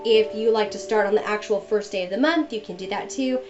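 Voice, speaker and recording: A woman's voice: mid-range voice, a little fast. A young woman narrating a journal-planning voice-over in a chatty, friendly way while she gets over a cold. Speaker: bullet journal vlogger. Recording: dull lo-fi voice-over with music behind.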